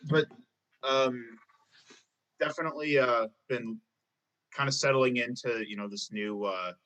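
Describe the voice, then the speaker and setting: Halting man chatting casually with bright tone. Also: medium pitch, low-quality recording. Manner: halting, with many ums and pauses. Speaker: co-host and music director. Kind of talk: casual chat.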